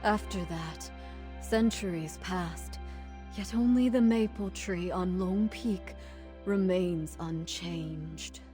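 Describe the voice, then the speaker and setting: A woman voicing a solemn narrator of an ancient myth in a video game, in a measured storybook style. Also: fairly low pitch, over music. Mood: solemn, wistful.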